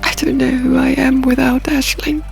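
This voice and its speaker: A woman plays a lost young diviner in a fantasy audiobook, earnest and hesitant. The voice is fairly high with a touch of creak, plaintive, over soft music. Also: level tone, normal speed. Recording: music behind the voice.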